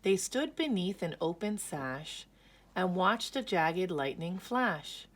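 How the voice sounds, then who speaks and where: A woman reading a children's story aloud in a measured, expressive storytelling manner. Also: on the low side. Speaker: picture book reader.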